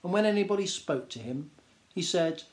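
A man, reading a children's story aloud in an expressive, measured way. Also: high-pitched. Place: small indoor room with bookshelves.

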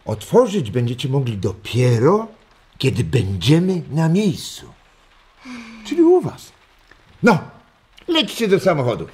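An actor playing a warm, stubborn old grandfather in a children's audio drama, theatrical, full of sighs and exclamations. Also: a little high, huge pitch swings, a little slow, pauses often, husky, exaggerated voice. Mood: playful. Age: older.

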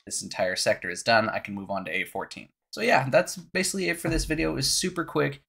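A young man with a cough-roughened, scratchy voice, casually walking viewers through a game menu step by step into his headset at home. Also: mid-range voice, quick pace, a bit bright. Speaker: gaming YouTuber. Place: small room at home.

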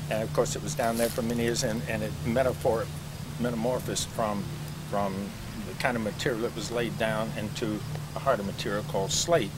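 A man's voice: fairly low pitch, steady tone, normal speed. An older man leading a walking tour through a cemetery outdoors. He explains things in a chatty way, with many fillers and restarts, and is recorded on a camcorder from a few feet away. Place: outdoor cemetery among trees. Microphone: camcorder mic from a few feet away. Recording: outdoor camcorder audio with traffic noise.

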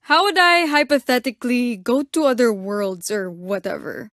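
A young, energetic female fighter from a video game. She is cheeky and casual, with a sharp, fairly high voice. The sound is dry, like a studio take. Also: normal speed, no room sound. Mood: curious, sly. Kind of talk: game voice lines.

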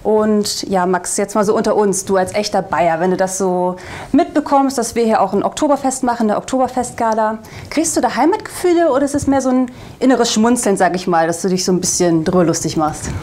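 A woman's voice: mid-range voice, fairly quick, a little mellow. A young woman, presenting in a light, chatty way. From Bremen, Germany. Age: young adult. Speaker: video host. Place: indoor green-screen studio.

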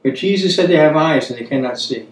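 High-pitched, animated man teaching the Bible. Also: quick pace. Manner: earnest, exhorting, repeats phrases for emphasis. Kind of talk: sermon-like Bible study teaching. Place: small room at a wooden table, indoors. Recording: echoey room, distant microphone.

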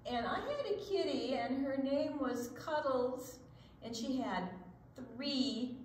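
Casting: older female storyteller, unhurried and expressive, reading to children, faint background noise. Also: on the high side, poor audio. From Iowa, United States. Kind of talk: storytelling and picture-book read-aloud for children.

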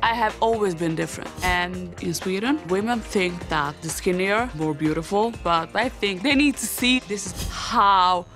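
A woman's voice: medium pitch, moderate pace, hardly any pauses, fairly bright tone. A young woman from Serbia, a reality-show patient, boasting and laughing through a confessional interview. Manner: boastful, laughs between lines. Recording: music behind the voice.

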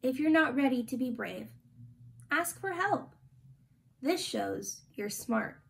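High-voiced young woman reading slowly to children. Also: animated, creaky. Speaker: storytime reader. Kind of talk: reading a children's picture book aloud. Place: small indoor room.

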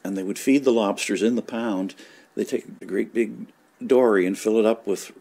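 Older man with a low voice. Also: moderate pace, creaky, warm tone. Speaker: local resident recalling the past. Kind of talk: informal reminiscing over old home movies.

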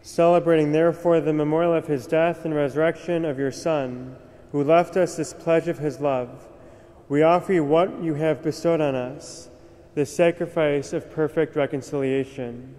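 A young man, a priest, reciting the prayers of Mass at the altar in a measured, solemn way. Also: a little high, fairly even intonation, dark tone. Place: large cathedral, at the altar. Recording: long cathedral reverb.

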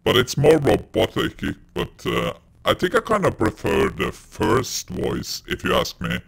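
A man casually demoing a real-time voice changer that turns his voice dark and robotic. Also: very animated, a little slow, creaky, slightly rough. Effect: real-time pitch-lowering robotic voice changer.

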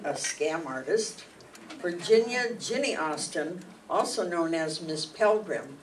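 An older woman reading a scripted introduction as presenter of a radio play. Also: lowish voice. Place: meeting room stage before an audience. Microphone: handheld microphone, recorded by audience camera. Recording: distant mic, noisy hall.

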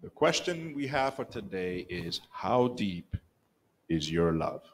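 A middle-aged man with a Rwandan accent preaching a sermon in a church sanctuary, telling the story with lively acted-out voices. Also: sits low, takes it slowly. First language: Kinyarwanda. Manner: animated storytelling, acts out quoted lines. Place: church sanctuary, mid-sized room. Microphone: pulpit microphone, filmed by a far camera.

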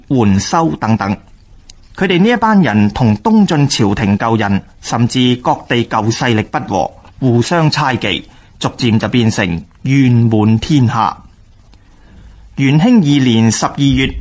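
Male narrator, Hong Kong Cantonese, mid-pitched, scripted history radio narration.

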